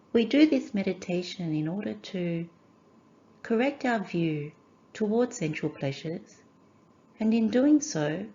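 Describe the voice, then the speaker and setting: A woman teaching a guided meditation in measured, gentle phrases with short pauses. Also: medium pitch, muffled recording.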